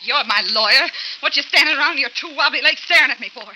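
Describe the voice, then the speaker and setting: Actress playing a brash scheming wife, crackly old radio. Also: female voice, high voice, fast talker, lightly acted. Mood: furious. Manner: theatrical, demanding, scornful. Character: brash, hot-tempered young former nightclub entertainer, scheming rich wife. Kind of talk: 1940s radio drama acting. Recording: noisy, crackly 1940s radio recording.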